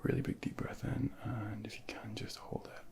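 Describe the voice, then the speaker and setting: A man speaking softly and breathily in an ASMR medical role play as a junior doctor, gently reassuring and giving step-by-step instructions into a close binaural microphone. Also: fairly low pitch, completely flat delivery, moderate pace. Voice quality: breathy, whispery, with heavy creak.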